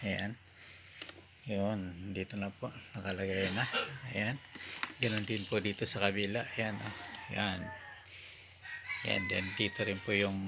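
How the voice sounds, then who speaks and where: A man with a Filipino accent giving a casual product demonstration as a vlogger, in halting, piecemeal phrases. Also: fairly low pitch, noisy background. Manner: halting, piecemeal delivery with repeated short filler phrases. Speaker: vlogger reviewing gear.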